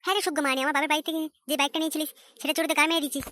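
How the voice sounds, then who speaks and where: A woman voicing a domineering mother and nagging wife in a comedy cartoon, threatening and shrill. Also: level tone, racing, bright, forceful tone, no room sound, studio quality.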